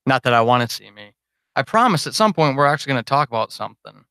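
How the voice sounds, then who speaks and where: Man, bright crisp voice, casual rambling livestream talk, self-deprecating, informal podcaster, close dry sound. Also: mid-range voice, very animated, fast talker, dry recording. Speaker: podcast creator and host. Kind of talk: casual unscripted livestream chat to supporters.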